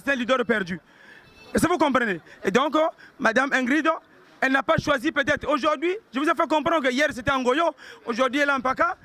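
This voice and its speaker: A Congolese man speaks off the cuff in a very high, bright voice. He rambles and stumbles over repeated words as he addresses the crowd. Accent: Congolese French. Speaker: sapeur spokesman. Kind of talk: improvised public speech.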